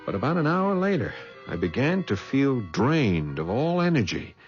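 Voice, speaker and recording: An actor playing a private detective who narrates his own story in a 1970s radio drama, dry and measured. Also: medium pitch, very animated, natural voice. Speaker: narrator and lead. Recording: muffled 1970s radio broadcast with music.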